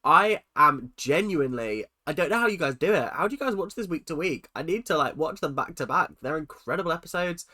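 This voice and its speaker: Man, host of a reaction vlog, nasal and stuffed up with a cold, crisp bright tone, excitable chatter. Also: fairly high pitch, fairly quick, few pauses. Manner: excitable, chatty, swings between loud exclamations and muttered asides. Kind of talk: casual reaction commentary.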